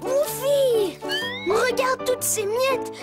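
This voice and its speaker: A woman's voice: extremely high pitch, drawn-out words, heavily acted. A woman voicing a bossy, anxious little boy in a children's cartoon, with an urgent, childlike way of talking. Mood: hushed scolding. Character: bossy, anxious little boy, owner of a secret living toy dog. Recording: music under the voice.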